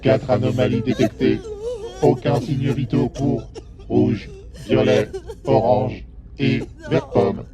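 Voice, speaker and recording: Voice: mid-range voice, takes it slowly. A man playing the computer of a space base, giving formal, impassive status announcements. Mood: impassive alert. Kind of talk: formulaic system announcements. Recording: music bed behind the voice.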